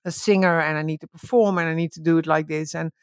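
A woman whose first language is Dutch, a guest in a relaxed spiritual conversation, talking in bursts with frequent laughter and restarts. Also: low voice, fast, no room sound, studio quality. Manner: animated, laughing often, many restarts.

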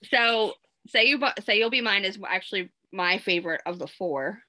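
A woman co-host with a bright, crisp voice, speaking in a casual conversational way. Her remarks come across as fond and admiring. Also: medium pitch, expressive, moderate pace. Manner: warm, admiring fan remarks. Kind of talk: casual chat.

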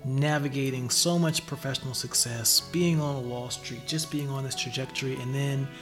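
Upbeat male podcast host, mid pitch, effusive and encouraging, casual interview delivery. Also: steady tone, moderate pace, music in the background.

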